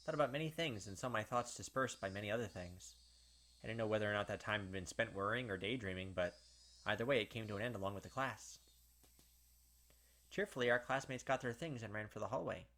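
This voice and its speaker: A man's voice: on the low side, fast, fairly bright tone, slight background noise. A young man hosting a gaming video, reading story text aloud with casual, filler-heavy asides.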